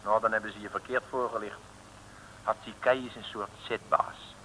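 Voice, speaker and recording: Radio-play crook under questioning, lowish forceful voice, gruff and insolent, slightly noisy vintage recording. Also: male voice, fairly even intonation, normal speed. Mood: grudging confession. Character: surly, defiant small-time male crook under interrogation. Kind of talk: old radio serial acting.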